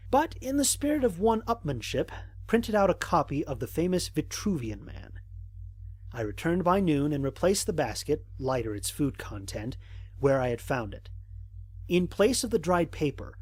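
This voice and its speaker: Male actor as a methodical space explorer, husky voice, dry close sound, formal measured log narration, observational, audio drama. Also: a little high, a little mellow, dry recording. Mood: curious, measured.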